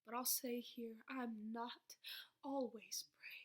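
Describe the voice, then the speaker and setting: A young woman performing slam poetry in an emotional, vulnerable, rhythmic delivery that drops into hushed phrases. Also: fairly high pitch, fairly even intonation, slow. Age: young adult. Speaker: slam poet.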